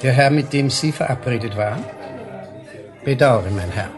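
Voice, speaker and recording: A man's voice: mid-range voice, moderate pace, over music, close to an everyday voice. An actor playing a prim male waiter in a radio drama, unctuous, very formal and deferential. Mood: obsequious. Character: obsequious, prim male waiter, politely indignant.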